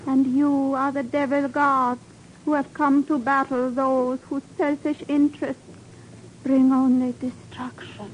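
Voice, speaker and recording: Over faint old-radio hiss comes a mysterious high priestess. Her high voice moves very slowly, giving each formal line the weight of solemn prophecy. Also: flat delivery, highs cut off. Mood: solemn, prophetic. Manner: slow, incantatory, formal pronouncements. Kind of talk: 1950s radio drama acting.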